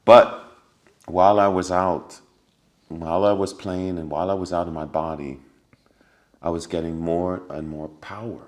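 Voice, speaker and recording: Deep-voiced man telling a vivid story. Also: moderate pace. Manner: animated storyteller who acts out scenes with sound effects and laughter; drops to a hushed, quiet voice for remembered lines. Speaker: podcast guest. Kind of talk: casual interview storytelling.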